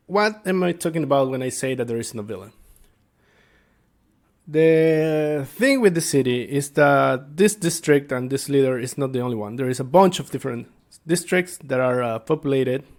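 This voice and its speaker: A man giving an informal explainer as a game master, speaking haltingly and searching for his words. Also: medium pitch, creaky. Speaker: tabletop game master and video creator. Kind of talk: informal explainer talk to viewers.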